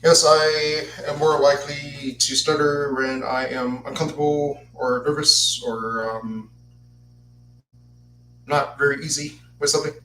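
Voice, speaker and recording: A man's voice: fairly high pitch, steady tone, unhurried, pushed and bright, distant mic. Stuttering man in his twenties. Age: 20s. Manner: stutters, repeats words and restarts. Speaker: podcast host. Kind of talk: solo podcast talk, partly read aloud. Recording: echoey room, voice off-mic, faint noise.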